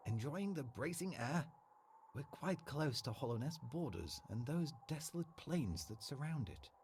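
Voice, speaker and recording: A man's voice: mid-range voice, sing-song, moderate pace, a little mellow, slight background noise. A man playing a genial, mild-mannered bug mapmaker in a game, chatting politely and genteelly. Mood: genial, chatty.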